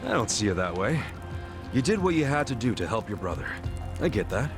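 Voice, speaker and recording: An actor playing a big-hearted, stubbornly optimistic ex-convict hero in a video game, with brash, slangy, earnest delivery. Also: male voice, medium pitch, big pitch swings, fairly quick, music in the background. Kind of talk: dramatic video game voice acting.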